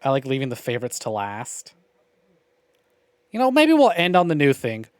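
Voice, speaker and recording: A man's voice: mid-range voice, wildly swooping pitch, normal speed, clear, resonant tone, no echo. A man giving casual, opinionated livestream commentary on a visual novel game, with wry, profane grumbling. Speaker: game streamer.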